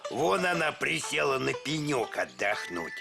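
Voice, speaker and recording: Creaky lil cartoon bear cub boy, slow, greedy, bashful, loud music behind. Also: medium pitch, sing-song. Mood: helpful. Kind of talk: children's cartoon acting.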